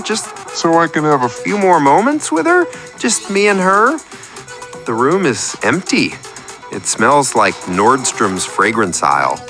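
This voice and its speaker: An actor playing a needy, self-pitying man calling a radio show, with a confessional, theatrical and wordy storytelling manner. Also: medium pitch. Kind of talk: scripted comedic radio play monologue.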